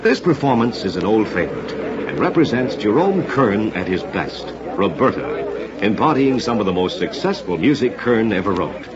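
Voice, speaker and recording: A man's voice: lowish voice, very animated, normal speed. An American man hosting a scripted old-time radio theater show with formal, theatrical introductions in the manner of an announcer. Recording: dull lo-fi vintage radio, crowd murmur.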